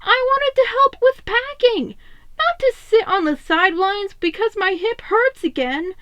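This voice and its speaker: Woman acting out a young male mutant turtle, high voice, anxious, protesting, pleading, storybook drama. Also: animated, measured pace, fairly bright tone, no room sound, heavily acted. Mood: upset, pleading. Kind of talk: dramatic fan fiction reading.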